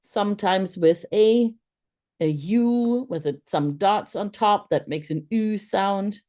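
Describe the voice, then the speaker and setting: A German-born woman, a teacher trainer, giving an online lecture in an unhurried, thoughtful way with many pauses and fillers. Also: mid-range voice, warm tone. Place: video call.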